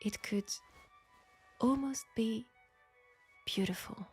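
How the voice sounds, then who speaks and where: A woman playing a candid, proud French seamstress in a steampunk audio drama, speaking with a French accent in a formal, deliberate way. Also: on the high side, darkish tone, husky, soft background music, full character voice. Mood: quietly fascinated. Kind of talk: intimate scripted audio roleplay.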